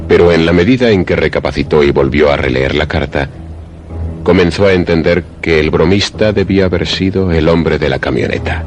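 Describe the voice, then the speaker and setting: A man narrating a radio suspense play in a solemn, deliberate, literary manner. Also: rumbling low.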